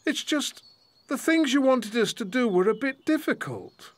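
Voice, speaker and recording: Gentle, hesitant cartoon king. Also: very high voice, animated, normal speed. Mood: gentle, apologetic. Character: gentle, hesitant king, a fond middle-aged father. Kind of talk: children's cartoon acting.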